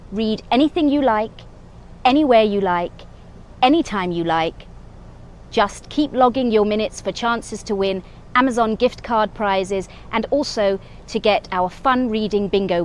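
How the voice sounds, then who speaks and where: A woman librarian reading a short story aloud from home in a calm, low-key way. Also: a little slow, a little hiss or hum.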